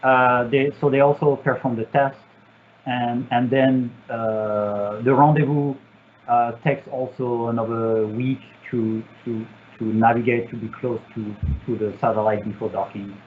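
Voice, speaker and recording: A man from France with a French accent, an engineer answering student questions in a virtual talk, pausing often with fillers and restarts. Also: mid-range voice, steady tone, a little hiss or hum. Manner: halting, many fillers and restarts. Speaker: satellite engineer. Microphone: video call. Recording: tinny, phone-like video call audio.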